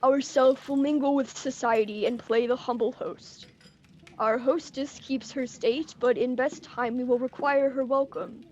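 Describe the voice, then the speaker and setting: Shakespearean tormented king, acted by a young woman, high voice, slow measured verse, theatrical, dull home recording. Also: fairly even intonation. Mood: hearty, regal. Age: young adult. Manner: theatrical, hushed to declamatory delivery. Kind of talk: Shakespearean verse drama acting.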